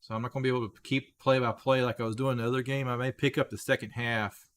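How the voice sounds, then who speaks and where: A man in his forties with a tiring, slightly hoarse voice, casually hosting a live sports stream as a folksy, excitable fan. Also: medium pitch, fast. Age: 40s. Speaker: livestream host.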